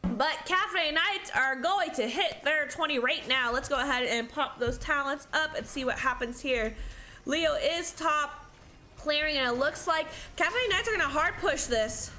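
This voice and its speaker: A woman doing live play-by-play commentary on an esports match, sounding stuffy from allergies and getting excited in close fights. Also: sits high, unhurried, faint music behind. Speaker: esports caster.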